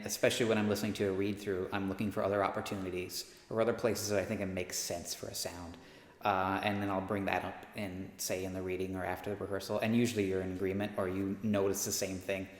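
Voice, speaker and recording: Low-voiced man in an echoey room. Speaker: Foley artist. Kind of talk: casual documentary interview. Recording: echoey room with long reverb.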